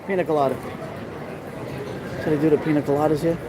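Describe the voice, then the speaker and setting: A man's voice: fairly high pitch, level tone, fast, crowd noise, rough recording. A middle-aged man with a New York accent, hosting a casual walking tour on a live stream. He chats loosely and greets his viewers by name. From New York City. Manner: chatty, greets viewers by name, rambling asides. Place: outdoor city street during a festival, raining. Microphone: handheld phone camera. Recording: street noise around a phone mic.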